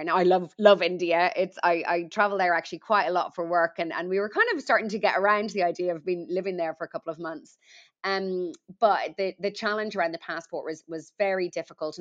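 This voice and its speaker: A woman's voice: lowish voice, fairly bright tone, muffled recording. A middle-aged woman with an Irish accent, a podcast guest explaining things carefully in a conversational interview, with frequent ums. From Ireland.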